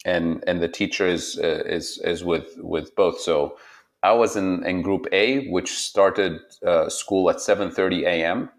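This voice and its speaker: Male podcast guest from Tunisia, very low voice, reflective and relaxed, restarts phrases a lot. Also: a little slow. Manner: reflective, frequent self-restarts and light laughs. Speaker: guest, economics professor. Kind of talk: conversational podcast interview.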